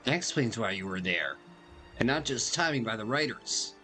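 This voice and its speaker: Chill guy commenting on a game, mid-pitched, slangy, faint music behind. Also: lively intonation, normal speed, bright, forceful tone. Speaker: gamer, walkthrough host. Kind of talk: casual game commentary, reading dialogue aloud.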